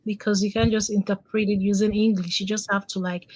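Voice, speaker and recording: A woman in her late thirties from Nigeria whose first language is Igbo, a soft-spoken interview guest who laughs often and hesitates. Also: mid-range voice, little pitch movement, brisk, husky, dry recording, low-quality recording. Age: late 30s. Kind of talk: casual interview.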